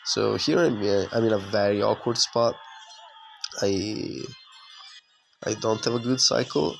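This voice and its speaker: A young man with a hoarse voice from being sick, giving casual, relaxed commentary over his own gameplay with lots of fillers. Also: fairly low pitch, slight background noise. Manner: relaxed, conversational with frequent fillers.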